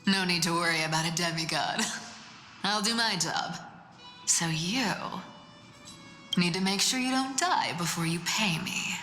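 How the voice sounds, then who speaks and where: A woman's voice: on the low side, unhurried, pauses often, pushed and bright. An actress playing a teasing female trickster demigod in a game, with a sly, brash, playful way of talking. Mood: smug. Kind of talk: dramatic game voice acting. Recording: echoey reverberant hall, music behind.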